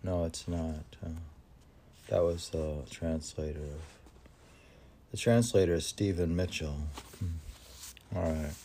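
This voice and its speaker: A man hosting a poetry podcast, reading verse and musing aloud in halting, questioning asides as if talking to himself. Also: deep voice, fairly even intonation, warm tone, a little hiss or hum. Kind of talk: poetry reading with rambling casual commentary.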